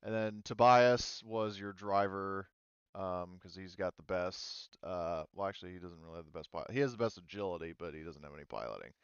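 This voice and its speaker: A man running a tabletop roleplaying game as game master in casual talk, thinking aloud with many pauses. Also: low voice, smooth, no echo, dull audio.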